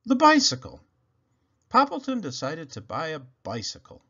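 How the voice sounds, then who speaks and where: Male storybook reader, hugely varied pitch, bright tone with some huskiness, children's narration. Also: huge pitch swings, normal speed, dull audio. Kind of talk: animated children's read-aloud narration.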